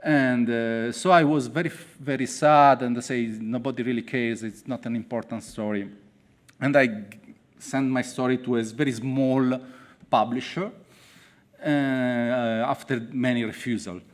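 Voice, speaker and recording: Italian man in halting broken English. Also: mid-range voice, steady tone. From Italy. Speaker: author on a panel. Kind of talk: personal account to a festival audience.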